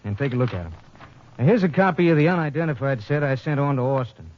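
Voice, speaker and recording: An American man playing a brisk, businesslike police lab technician in a 1950s radio drama. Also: mid-range voice, lively intonation, natural voice. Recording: muffled old radio broadcast.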